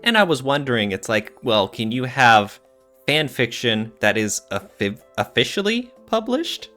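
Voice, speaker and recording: A man's voice: medium pitch, big pitch swings, a little slow, pushed and bright, soft background music. A man co-hosting a podcast, explaining things in a casual, thinking-aloud way. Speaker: podcast co-host.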